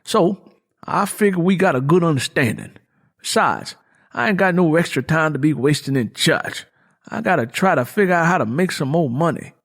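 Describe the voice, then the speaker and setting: Audiobook role: rough, poor country young man, voiced by a male narrator, cocky and short-tempered, folksy grammar, lively high-set delivery. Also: moderate pace. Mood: cocky, dismissive. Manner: folksy rural vernacular, double negatives. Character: rough young rural laborer, poor, defiant, quick-tempered, hard-drinking. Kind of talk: audiobook character dialogue.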